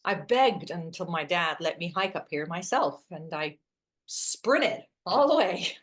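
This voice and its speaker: A woman's voice: lowish voice, animated, a little slow. A woman acting in a naturalistic drama as a wry, self-deprecating best friend who sighs, hesitates and teases. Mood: nostalgic, wry.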